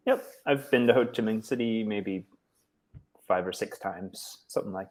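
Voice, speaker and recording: A man from the United States, tutoring English over a video call in a relaxed, encouraging way with many short affirmations. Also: fairly low pitch, moderate pace. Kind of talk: casual tutoring chat.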